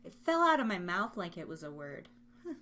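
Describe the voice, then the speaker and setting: A woman's voice: fairly low pitch, big pitch swings, a little fast, sharp tone, a little hiss or hum. An American woman casually hosting a live online show, rambling along in a bubbly, informal way with her listeners. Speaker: host of a live online radio show and podcast.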